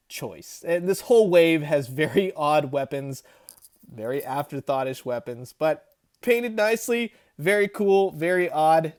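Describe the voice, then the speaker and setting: A man giving a chatty, animated review of vintage action figures with wry asides. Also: sits high. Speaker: toy collector reviewer. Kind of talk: casual toy review.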